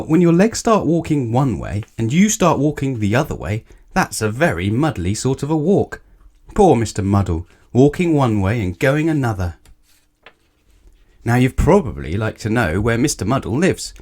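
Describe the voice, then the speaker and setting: Highly animated male children's storyteller. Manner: expressive, addresses young listeners directly. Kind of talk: children's picture book read-aloud.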